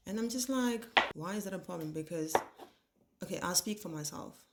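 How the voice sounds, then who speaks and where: A woman's voice: on the low side, moderate pace, heavy background noise. A young woman from Nigeria with a Nigerian English accent, whose first language is Igbo, talks casually to the camera in her kitchen, telling stories and imitating how words are pronounced. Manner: lively storytelling, mimics pronunciations, rhetorical questions. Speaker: vlogger. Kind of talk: casual chatty rant to camera. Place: home kitchen while cooking.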